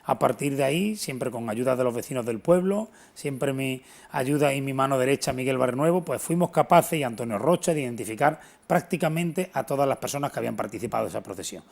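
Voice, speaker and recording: A man's voice: medium pitch, animated, brisk, gravelly, few pauses. A middle-aged man, giving earnest, explanatory and emphatic answers as an interview guest. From Antequera, southern Spain. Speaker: interview guest, local historian. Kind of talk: unscripted television interview answers.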